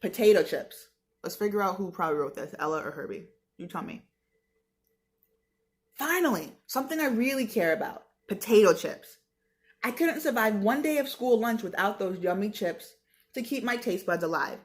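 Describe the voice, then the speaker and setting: A woman's voice: mid-range voice, animated, moderate pace. An American woman reading a book aloud like a teacher, with casual explanatory asides spoken directly to her listeners in a conversational, chatty way. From New York state, United States.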